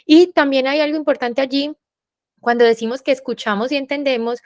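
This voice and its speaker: A woman's voice: high voice, fairly even intonation, brisk, dry recording, clean studio sound. A woman, a university professor moderating a panel, talking in a reflective, explanatory way with many fillers. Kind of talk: panel discussion.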